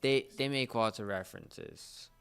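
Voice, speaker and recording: A man hosting a casual entertainment talk, explaining things in a halting way. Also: mid-range voice, fairly bright tone, smooth. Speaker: podcast host.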